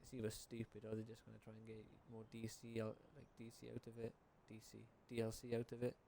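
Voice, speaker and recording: A man's voice: lowish voice, completely flat delivery, brisk, creaky, mellow, a little hiss or hum. A young adult man giving casual, unscripted game commentary as a video creator, informal, tentative and rambling.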